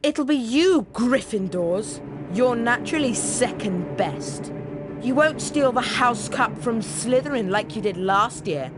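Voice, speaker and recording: A woman's voice: fairly high pitch, lively intonation, unhurried. A woman voicing a sneering, haughty schoolboy rival in a video game, taunting as the character talks. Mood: smug. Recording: clear background noise. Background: ambient noise.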